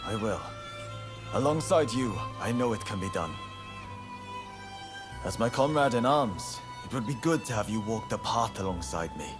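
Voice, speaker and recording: An actor playing an honorable young samurai in a video game cutscene, speaking formally and solemnly in measured phrases. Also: male voice, on the low side, lively intonation, natural voice. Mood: earnest, resolute. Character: earnest, honorable young male samurai of Choshu. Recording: music behind the voice.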